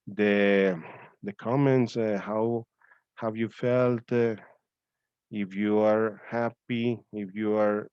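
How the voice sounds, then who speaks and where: A man hosts an online event informally, speaking haltingly and repeating himself. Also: fairly low pitch, darkish tone, clear, resonant tone, dry recording. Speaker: host of a student reading-aloud event. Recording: dull, lo-fi online call audio.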